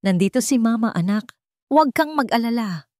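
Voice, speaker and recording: A woman's voice: fairly high pitch, animated, moderate pace, darkish tone, no echo, clean studio sound, voice pushed up, natural voice. A woman as an anguished, desperate mother. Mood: soothing. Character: anguished mother desperate to save her sick young son. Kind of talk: audio drama story dialogue.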